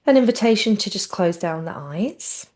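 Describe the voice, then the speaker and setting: A woman teaching yoga nidra, giving gentle guided relaxation in a soothing, unhurried and informal way. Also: mid-range voice, lively intonation.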